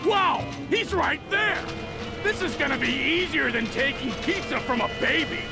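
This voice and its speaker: A man's voice: very high voice, animated, normal speed, creaky, loud music behind the voice, exaggerated voice. A man voicing a big, dim, cheerful hippo bruiser in a video game, boastful and childlike. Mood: eager boasting. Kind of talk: animated video game voice acting.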